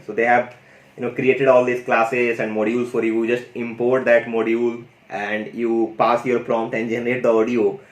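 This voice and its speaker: A man running a casual, enthusiastic tutorial on an AI audio tool, often saying "you know" and "guys". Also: mid-range voice. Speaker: tech YouTuber demonstrating an AI audio tool. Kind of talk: casual screen-share tutorial. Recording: roomy echo.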